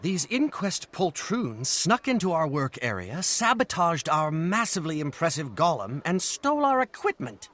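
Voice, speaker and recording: Indignant goblin youth, high, animated, sharp tone, excitable protest, video game. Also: male voice, moderate pace, hardly any pauses. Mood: outraged. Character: indignant, excitable young male asura student, small goblin-like. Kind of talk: video game cutscene acting.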